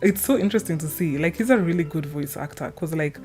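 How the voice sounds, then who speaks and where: A young woman speaks in a fairly low voice over background music. She chats informally about her opinions, wandering into tangents as she goes. It has the loose, unscripted feel of a homemade gaming video. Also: fast, warm tone. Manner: informal, chatty, trailing tangents. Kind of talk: casual rambling opinion chat.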